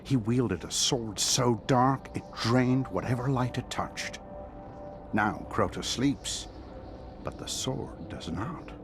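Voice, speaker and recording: A man playing a solemn lore keeper in a video game, telling a dark legend in a grave, measured way. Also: mid-range voice, gravelly. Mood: ominous. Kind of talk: dramatic game narration. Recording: music behind the voice. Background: game music.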